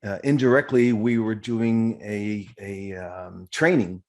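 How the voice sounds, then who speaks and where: A man's voice: lowish voice, takes it slowly, a little mellow. A male podcast host giving an earnest, effusive introduction and asking questions, with fillers and restarts.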